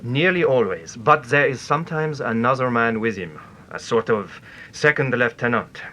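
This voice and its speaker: Intense, sharp-voiced French investigator. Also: male voice, mid-range voice, expressive, normal speed, muffled recording. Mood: precise, insistent. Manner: formal, precise, insistent. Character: intense, precise French male investigator from the continent. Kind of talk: radio detective drama acting.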